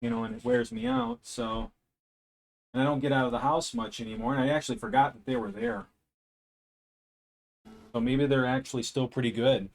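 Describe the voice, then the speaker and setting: Man, somewhat deep voice, middling pitch, casual stream chat, rambling, thinking aloud, desk mic at home. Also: animated, moderate pace, gravelly, pauses often, rough recording. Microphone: desk microphone.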